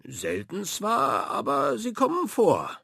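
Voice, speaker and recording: A man's voice: sits high, huge pitch swings, takes it slowly, vocal fry, bright, forceful tone, slightly rough, no echo, clean studio sound, voice pushed up, full character voice. A man voicing a cheerful, bumbling, bearded pirate captain who mumbles sheepishly and can turn boisterous.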